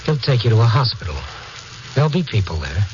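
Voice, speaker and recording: Actor as a hard-boiled reporter, muffled old radio. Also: male voice, mid-range voice, very animated, fast, noisy background, lightly acted. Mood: gentle, firm. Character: tough, compassionate night reporter, hero and narrator. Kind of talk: 1950s radio drama, hard-boiled first-person narration. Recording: muffled 1950s radio recording.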